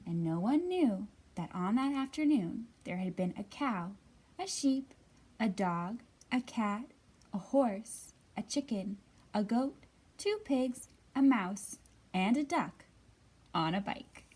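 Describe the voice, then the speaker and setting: A woman hosting a children's storytime, reading and counting in an expressive, sing-song way with drawn-out words. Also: medium pitch. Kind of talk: expressive read-aloud and counting for young children. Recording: somewhat distant from the mic.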